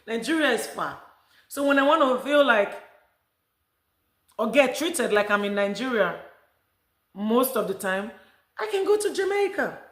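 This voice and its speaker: A woman's voice: fairly high pitch, sing-song, measured pace, pauses often, a bit bright. A middle-aged woman from Africa talking casually to camera as a vlogger, rambling with many restarts. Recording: echoey room.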